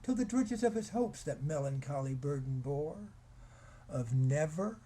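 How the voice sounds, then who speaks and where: A man's voice: fairly high pitch, animated, measured pace, dark tone, breathy edge. A man playing the grieving, tormented narrator of a gothic poem, speaking in a theatrical, impassioned, declamatory way. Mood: musing, mournful.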